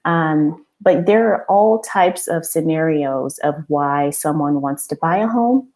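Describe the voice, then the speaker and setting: A woman's voice: sits low, slow pace, darkish tone. A woman hosting an informal business webinar, talking in an encouraging, conversational way and posing rhetorical questions. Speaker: webinar host and business coach.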